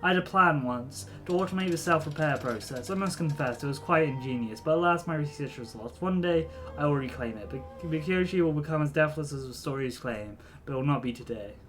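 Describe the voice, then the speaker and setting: A man voicing a weary middle-aged male drone engineer, reading game dialogue aloud in a measured, formal, slightly halting way. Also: fairly high pitch, a bit bright, natural voice.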